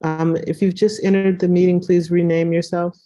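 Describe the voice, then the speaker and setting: Woman moderator on a video call; lowish, mellow voice, casual and chatty. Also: little pitch movement, normal speed. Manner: chatty, handling tech problems. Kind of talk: online meeting moderation.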